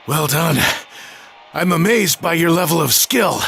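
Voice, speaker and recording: A man playing a proud, stern martial arts fighter in an anime game, speaking in a measured, self-assured, formal way with a gravelly voice. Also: a little high, sharp tone, a little hiss or hum, heavily acted. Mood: composed, impressed. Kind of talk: anime video game voice acting.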